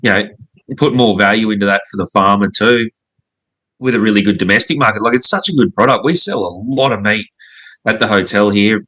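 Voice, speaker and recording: Male Australian guest, casual chat. Slightly deep but forward, bright tone, relaxed slangy phrasing. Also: fairly quick. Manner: laid-back, slangy. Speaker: pub owner, interview guest.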